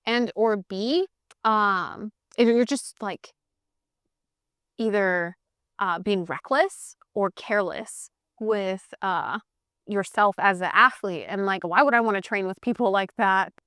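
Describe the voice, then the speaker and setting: A woman's voice: mid-range voice, slow pace, clear, resonant tone, no echo, studio quality. A woman from Hawaii whose first language is Pidgin, co-hosting a casual podcast chat with strong opinions. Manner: chatty, opinionated, animated. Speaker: podcast co-host.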